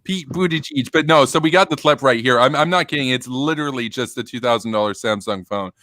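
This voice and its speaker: A man hosting a casual stream, talking in a rambling, animated way with many fillers and restarts. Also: mid-range voice, fairly quick, no echo, studio quality. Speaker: stream host. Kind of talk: casual chat.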